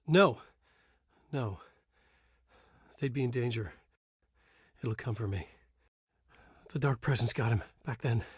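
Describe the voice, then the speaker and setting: An actor playing a haunted, brooding writer trapped in a nightmare, in an intense, dramatic horror game delivery with a husky edge. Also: male voice, mid-range voice, no room sound, clean studio sound. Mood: grave, haunted. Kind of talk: psychological horror game dialogue and brooding narration.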